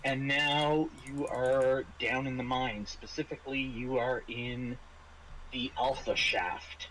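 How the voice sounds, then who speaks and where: A man narrates a tabletop roleplaying game as game master. He talks casually and a little haltingly, with dry understated humour, over a home mic with faint background noise. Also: mid-range voice, fairly even intonation, a bit bright, low-quality recording.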